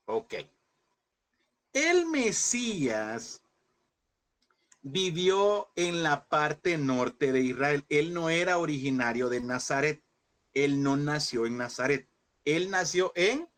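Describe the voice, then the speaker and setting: A man teaches a Bible class with a slightly raised pitch. He asks questions, repeats them, and stretches out the important words so his students can follow. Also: fairly bright tone. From El Salvador. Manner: addresses listeners as brothers, asks and repeats questions; slows and stresses key words.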